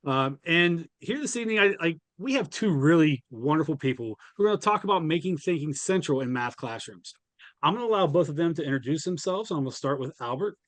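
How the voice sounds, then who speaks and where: A man's voice: fairly high pitch, animated, fast, fairly bright tone, no echo. A man hosting an online webinar, informally introducing guests and putting questions to them. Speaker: webinar host, podcast co-host.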